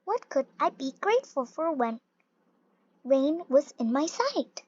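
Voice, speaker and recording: Storybook reader, high childlike voice, unhurried and animated, close dry lo-fi sound. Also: no room sound. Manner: slow, deliberate, expressive storytelling. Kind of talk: read-aloud of a rhyming children's picture book. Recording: dull lo-fi recording, little room sound.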